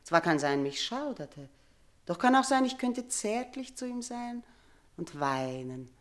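A woman's voice: on the high side, sing-song, a little slow. Haunted, imperious queen. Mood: defensive, wistful. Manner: theatrical, imperious, swinging from murmur to outcry. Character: haunted, imperious queen and mother, uneasy with guilt. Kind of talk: classical German radio drama acting.

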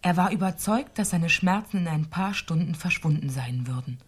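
A woman's voice: on the low side, animated, normal speed, slightly rough. A woman narrating a scripted fairy tale in a radio play.

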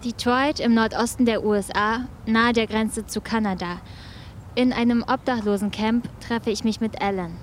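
An actress in a radio drama playing a serious, probing journalist who narrates in a scripted documentary style. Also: female voice, a little high, fairly even intonation, moderate pace, a little hiss or hum, natural voice. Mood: factual, serious. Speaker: reporter and narrator.

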